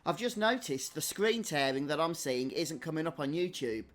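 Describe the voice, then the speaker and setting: A man's voice: on the high side, expressive, moderate pace, a bit bright. A man giving casual live game commentary and chatting to viewers as a streamer. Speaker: gaming streamer. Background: game music.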